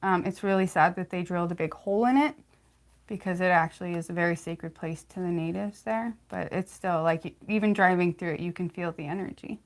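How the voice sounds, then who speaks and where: Young woman livestream host, slightly low voice, faint lisp, talks in halting casual bursts with frequent fillers. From California, United States. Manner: halting, many fillers and restarts.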